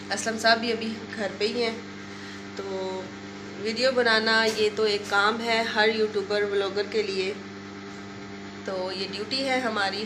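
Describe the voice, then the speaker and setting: A woman's voice: medium pitch, background noise. A woman in her thirties with a sore throat, chatting to viewers in a home vlog, filming on a phone at arm's length. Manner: chatty, addresses viewers directly. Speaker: family vlogger. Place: home bedroom and rooftop with hens.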